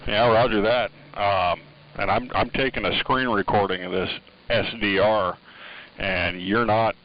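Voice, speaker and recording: A man's voice: on the low side, fairly even intonation, a little slow, background noise. A man talking casually over two-way radio, easygoing and agreeable, using operator lingo. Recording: dull band-limited radio transmission.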